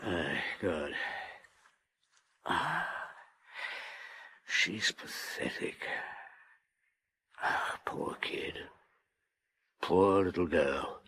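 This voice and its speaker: A man playing a weary, gruff but compassionate middle-aged French chief inspector in a radio drama. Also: low-pitched, lively intonation, drawn-out words, long pauses, sharp tone, no echo, full character voice. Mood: pitying. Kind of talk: British radio drama acting.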